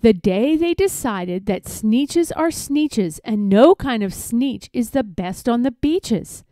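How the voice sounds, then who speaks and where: Woman storybook reader, high lively voice, mellow tone, dry close sound, expressive. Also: no echo. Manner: expressive, measured. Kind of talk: animated children's read-aloud of rhyming verse.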